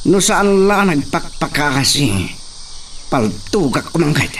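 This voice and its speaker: Taunting, cruel young master. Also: male voice, on the high side, sing-song, a little slow, fairly bright tone, full character voice. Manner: taunting, imperious. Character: cruel, domineering young master bullying a servant. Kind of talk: radio drama acting. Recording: distant voice, faint background noise.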